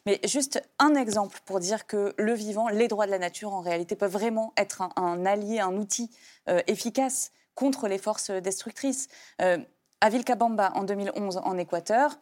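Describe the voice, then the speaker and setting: A woman's voice: mid-range voice, normal speed, a little mellow. A woman speaking unscripted in a panel discussion, explaining her view with some hesitant starts. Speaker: panel guest.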